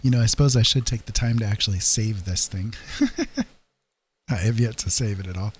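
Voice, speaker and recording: A man with a deep voice talking casually and quietly to livestream viewers, at home on a podcast microphone. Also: normal speed. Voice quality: deep, husky. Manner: soft-spoken, holding his voice down so as not to wake someone. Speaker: livestreaming digital artist, former radio talk show host. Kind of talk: casual chat with stream viewers. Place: room at home. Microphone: podcast microphone through a mixer, at a desk.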